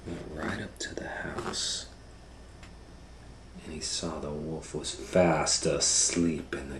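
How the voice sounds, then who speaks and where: Low voiced guy reading a kids story real slow, dramatic, a little background noise. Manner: dramatic, swinging from hushed to loud. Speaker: host and storybook reader. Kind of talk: animated children's storytelling.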